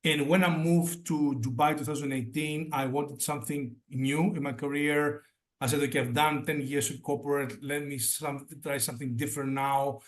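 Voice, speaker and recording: A man's voice: a little high, fairly even intonation, moderate pace. A man in his forties from Greece, a native Greek speaker, chatting as an interview guest and often restarting his phrases. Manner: restarts phrases often, fillers like "you know". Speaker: guest startup advisor. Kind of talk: casual interview chat.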